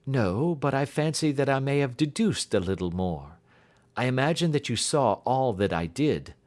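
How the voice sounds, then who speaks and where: A man voicing a keen, assured detective in an audiobook, explaining things precisely and deliberately. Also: mid-range voice, sing-song, darkish tone, pitched above the performer's own voice, lightly acted. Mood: grave, measured. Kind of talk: audiobook character dialogue.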